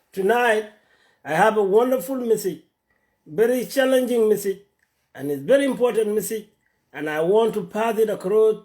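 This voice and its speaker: A middle-aged man from South Sudan hosting a Facebook Live talk on his phone, speaking haltingly and repeating words as he searches for names. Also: sits high, darkish tone. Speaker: social media host. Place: home in Atlanta.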